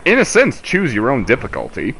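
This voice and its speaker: A man co-hosting a casual video game chat, explaining things in a halting, rambling, self-correcting way. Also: medium pitch, wildly swooping pitch, creaky, a bit bright, a little hiss or hum, rough recording. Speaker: podcast co-host.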